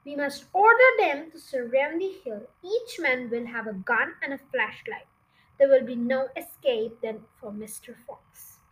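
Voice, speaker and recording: A gloating, bossy farmer from a children's storybook, played by a woman reader. The voice stays very high and unhurried while taunting and scheming. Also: expressive, poor audio. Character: gloating, scheming male farmer hunting a fox.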